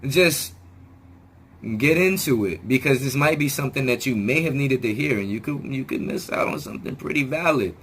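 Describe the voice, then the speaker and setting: A man talking straight to his viewers in a rambling self-help vlog, often restarting words and swearing casually, his voice still hoarse as it comes back. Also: medium pitch, lively intonation, moderate pace, fairly bright tone. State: hoarse, regaining lost voice. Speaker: video channel host.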